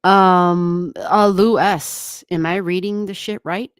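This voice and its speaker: Low-voiced rambling woman streaming from home. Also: steady tone, slow pace, clear, resonant tone, dry recording, studio quality. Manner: rambling, chatty, laughs often. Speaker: astrology livestream host. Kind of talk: casual livestream chat with viewers. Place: home room, not soundproofed. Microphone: desk microphone, turned down halfway.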